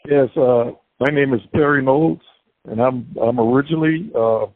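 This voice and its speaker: Older man, halting, on a tinny phone line. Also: mid-range voice, no room sound. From Bahamas. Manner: halting, many fillers and repeats, devout. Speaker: interview guest, prisoner. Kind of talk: casual interview talk. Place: prison. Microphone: prison phone call.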